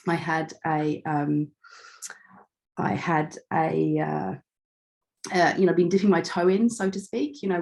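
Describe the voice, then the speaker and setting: Casting: woman, middle-aged, lowish croaky voice, chatty self-deprecating guest speaker, hesitant phrasing. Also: a little mellow. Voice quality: slightly croaky. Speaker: start-up founder and guest speaker. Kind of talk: informal online talk about own background.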